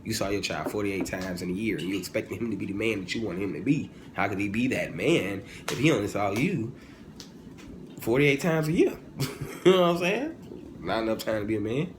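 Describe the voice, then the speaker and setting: A man's voice: on the low side, a little fast. Male vlogger, 20s. Informal, digressive, humorous rant with wide intonation; noisy ambient recording. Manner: animated, informal, digressive, jokey, with exclamations and self-corrections. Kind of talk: casual, humorous opinion monologue. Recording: clearly noisy, ambient background sound.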